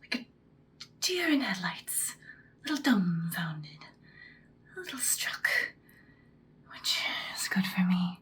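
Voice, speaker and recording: A woman playing a commanding female vampire mentor in a whispered ASMR roleplay, speaking softly, breathily and deliberately. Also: fairly low pitch, very animated, full character voice. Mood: ominous, musing. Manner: slow, deliberate, instructing, ominous. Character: ancient-seeming female vampire mentor, commanding, once ruthless, now good.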